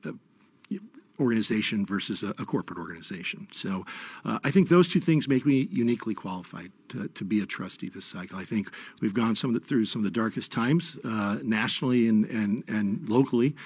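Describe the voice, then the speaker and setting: Middle aged midwest guy doing a candidate speech, kinda low husky voice, halting and earnest. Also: dry recording. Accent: American Midwestern. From Michigan, United States. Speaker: candidate for university trustee. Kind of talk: informal campaign speech.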